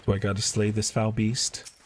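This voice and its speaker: A man with a fairly low voice gives casual, offhand commentary while streaming a game. There is some background noise and ambience behind him. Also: completely flat delivery, moderate pace. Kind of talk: casual gameplay commentary, reading quest dialogue aloud. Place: indoors, live stream.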